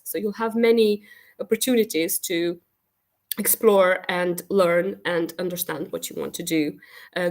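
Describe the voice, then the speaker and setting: A woman consultant and career counselor with a cold, hoarse and hesitant, giving an earnest, encouraging talk at a virtual open evening. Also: medium pitch. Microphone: video call.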